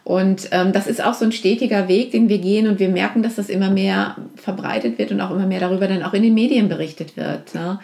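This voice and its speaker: A woman speaks earnestly as a podcast guest, her voice gravelly with plenty of creak. She often pauses on fillers and restarts her sentences, and her pitch sits in the middle range. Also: fairly even intonation, mellow. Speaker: guest from a support organization. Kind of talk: podcast interview.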